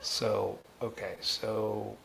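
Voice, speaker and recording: A man hosting a live coding tutorial, thinking aloud in a halting way and repeating phrases as he reasons. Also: fairly low pitch, flat delivery, gravelly, fairly bright tone, slight background noise, low-quality recording. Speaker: programming tutor and livestream host.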